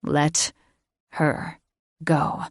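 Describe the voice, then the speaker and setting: A woman's voice: no room sound, studio quality, exaggerated voice. A woman voicing a young man who speaks evenly and carefully while shaken. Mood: tense. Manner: measured and low, trying to stay even. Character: young man, shaken lover with a griffin heart.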